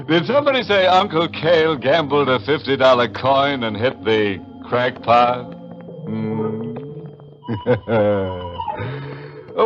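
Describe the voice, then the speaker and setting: A ghoulish, mocking horror host drawls very slowly, with a swooping, animated pitch. He is sardonic and morbidly gleeful in 1940s radio style, on a dull recording with music behind. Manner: sardonic, drawling, punning delivery.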